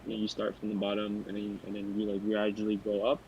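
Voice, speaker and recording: A man's voice: lowish voice, completely flat delivery, quick pace, lo-fi sound, slight background noise. A young man talking in a casual podcast discussion, loose and rambling, who sometimes loses his thread. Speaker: podcast panelist.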